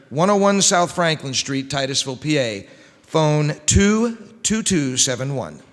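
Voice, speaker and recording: A middle-aged man hosting in an old-time radio announcer style, with a brisk, showy, emphatic delivery. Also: on the high side, fairly bright tone. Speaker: radio show host. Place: large wooden church hall on stage. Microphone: microphone on a stand. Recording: echoey hall.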